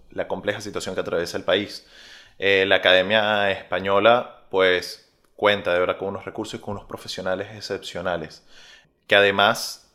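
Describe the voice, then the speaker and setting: Man, Venezuelan Spanish accent, young adult, low voice, measured, filler pauses, interview guest. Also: fairly bright tone. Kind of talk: interview answers.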